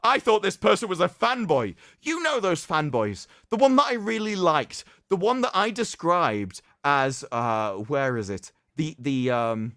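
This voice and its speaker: A man hosting a livestream, reading aloud in a mocking, theatrical way with sarcastic asides and drawn-out emphasis. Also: fairly high pitch, very animated, fairly bright tone, no room sound, clean studio sound. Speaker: livestream host. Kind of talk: mocking dramatic reading of an article with commentary.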